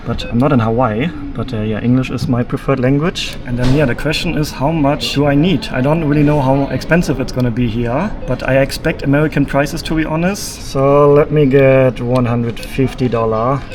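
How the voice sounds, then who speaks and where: A man's voice: medium pitch, fairly even intonation, normal speed, few pauses. A man from Germany making a casual travel vlog, thinking aloud with fillers as he walks through an airport and then outdoors. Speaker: travel vlogger. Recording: noisy background.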